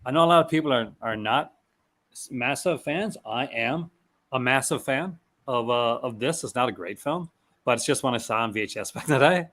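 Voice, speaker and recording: A man's voice: mid-range voice, animated, fairly quick, fairly bright tone. A man hosting a casual chat, reading out movie descriptions with stumbles and restarts and laughing often. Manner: reads product blurbs aloud, stumbling and restarting, laughs often. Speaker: host.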